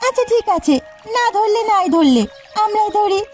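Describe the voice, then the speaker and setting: A woman voicing a brash, scheming young village woman in a cartoon, cheeky and sharp-tongued with defiant delivery. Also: very high voice, animated, moderate pace. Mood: casual, coaxing. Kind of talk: cartoon dialogue. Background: faint music.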